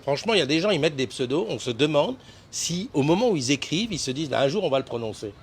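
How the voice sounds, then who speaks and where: A man's voice: a little high, expressive, racing, slightly rough. A man hosting a casual live morning show, chatting and greeting viewers by name with frequent laughs. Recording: noisy live stream.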